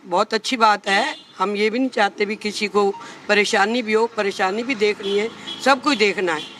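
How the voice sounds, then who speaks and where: A woman's voice: mid-range voice, normal speed, fairly bright tone, slight background noise. Rambling woman being interviewed. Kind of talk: unscripted interview answers.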